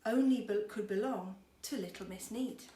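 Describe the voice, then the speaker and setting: A woman's voice: medium pitch, normal speed, mellow. A woman schoolteacher reading a storybook to children expressively, with chatty, encouraging asides. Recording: roomy echo, faint background noise.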